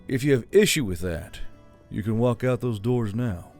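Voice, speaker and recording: A man's voice: medium pitch, animated, moderate pace, darkish tone, soft background music, natural voice. A man playing a weary, stern militia leader burdened by guilt in an audiobook drama. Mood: firm, commanding.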